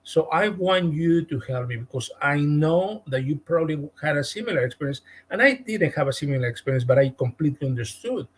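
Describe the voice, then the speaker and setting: A man with a Spanish-speaking Latin American accent, a real estate agent guest telling a story in an animated podcast interview. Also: a little high, a little fast, few pauses, breathy edge. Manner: animated, retells conversations with emphasis.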